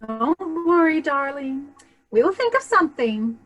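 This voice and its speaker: Smug fairy-tale stepmother played by a woman, high voice, very animated intonation, condescending, stage play. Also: measured pace, soft background music. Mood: soothing, scheming. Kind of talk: staged reading of children's theater.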